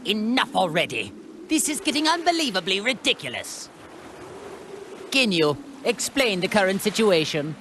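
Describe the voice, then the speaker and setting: A man's voice: high-pitched, big pitch swings, normal speed, noisy background. A man voicing a cruel, refined alien tyrant for an anime game, pompous and sneering in his formal speech. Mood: cold, imperious. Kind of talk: English anime video game dub acting. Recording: loud music under the voice.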